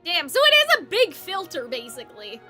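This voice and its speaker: Female game streamer, very high register, bright tone. Casual, rambling commentary addressed to live chat. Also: wildly swooping pitch, fairly quick, faint music behind.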